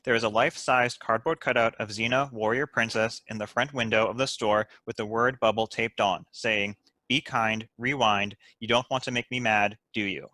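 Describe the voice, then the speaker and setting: A man's voice: fairly low pitch, fairly even intonation, normal speed, bright, forceful tone, no echo, low-quality recording. A man reading stage directions as scripted narration at a comedy table read.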